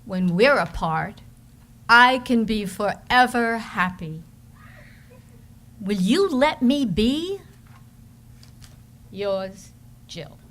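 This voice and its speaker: A woman playing a cold, dismissive woman jilting her lover, reading a letter aloud with clipped phrases and pointed pauses. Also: medium pitch, lively intonation, a bit bright. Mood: cold, scornful.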